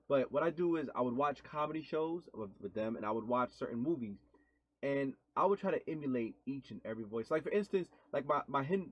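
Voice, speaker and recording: A man co-hosting a casual podcast, talking in a halting way and repeating his words. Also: medium pitch. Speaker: co-host. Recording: dull lo-fi sound.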